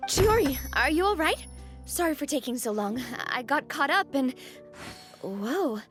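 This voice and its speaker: A woman's voice: high-pitched, animated, measured pace, fairly bright tone. A woman playing a protective, hot-headed young female leader in a video game, dramatic and emphatic in her delivery. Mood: concerned. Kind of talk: video game character acting. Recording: game music behind voice. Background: game music.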